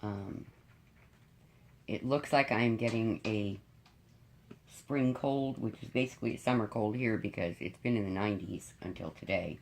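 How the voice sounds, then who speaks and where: Congested middle-aged woman with a cold, raspy and frank, for a chatty home vlog. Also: extremely low pitch, measured pace, slight background noise. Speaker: diamond painting YouTuber. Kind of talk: casual chat to viewers.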